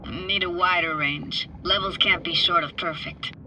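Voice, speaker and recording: A woman's voice: lowish voice, steady tone, measured pace, background noise, lightly acted. A woman playing a wistful, streetwise young tech-savvy diver in a video game, talking in clipped, intimate, slangy sentences. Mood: focused. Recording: loud game music behind.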